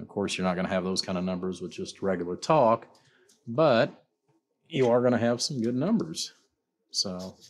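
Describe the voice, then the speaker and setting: A man informally presenting a demonstration as a builder and seller, rambling along with frequent repeated filler phrases. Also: deep voice. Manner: rambling, repeats "you know" and "like I said". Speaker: amplifier builder and seller presenting his work.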